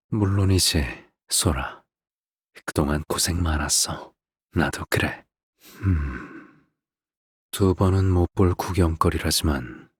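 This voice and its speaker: Creepy calm game villain dad, low voice, super husky and breathy, soft condescending talk. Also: male voice, no room sound, clean studio sound, full character voice. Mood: pleased, soft, then cold, mocking. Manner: measured, soft-spoken, condescending, trailing into breathy near-whispers. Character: calm, sinister middle-aged father villain, coldly tender. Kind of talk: theatrical scripted game voice acting.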